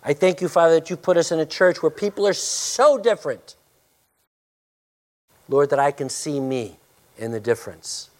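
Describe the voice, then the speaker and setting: A male pastor preaching a sermon and praying, with a loud, forceful voice, emphatic when preaching and hushed in prayer. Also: a little high, expressive, moderate pace, long pauses.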